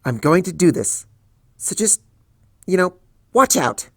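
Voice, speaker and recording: A man voicing an anxious, flustered young female unicorn scholar in a fanfic reading, talking in frantic, rushed bursts. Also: big pitch swings, a bit bright, no room sound, studio quality, heavily acted. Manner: frantic, rushed, high-strung outbursts.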